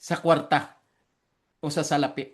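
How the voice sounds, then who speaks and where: A Filipino man whose first language is Visayan, preaching and teaching theology online in simple, halting English with earnest repetition. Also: fairly high pitch, flat delivery. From Philippines.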